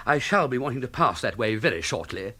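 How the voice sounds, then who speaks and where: An actor playing a flustered, hapless young English gentleman in a comic radio drama, with a plummy upper-class accent and a blustering manner. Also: medium pitch, very animated, a little fast, noisy background, natural voice. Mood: grumbling sarcasm. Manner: plummy, blustering, sarcastic, stammering when cornered.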